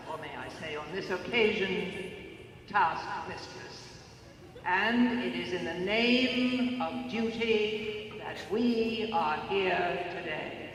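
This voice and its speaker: A woman playing a stern, sanctimonious matron in an audio drama, declaiming pompously and deliberately as she presides over a grim ceremony. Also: a little high, fairly bright tone. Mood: pompous, ceremonial. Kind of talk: theatrical audio drama oration. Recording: echoey large space, long reverb.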